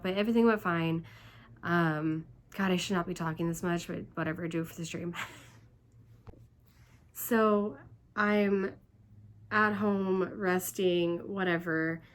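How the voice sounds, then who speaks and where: A woman with a hoarse, congested voice from laryngitis and a cold talks casually to her viewers. Her pitch sits in the middle of the usual range for women. She rambles along with plenty of "like" and "um". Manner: rambling, chatty, full of "like" and "um". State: congested, stuffed up. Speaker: vlogger. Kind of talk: casual storytelling vlog.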